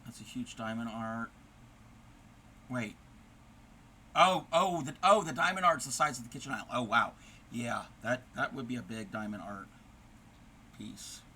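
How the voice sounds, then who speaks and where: A man's voice: medium pitch, a little slow, pauses often, sharp tone. A man hosting a crochet livestream, chatting casually and answering viewers while he explains stitches step by step, his voice turning scratchy.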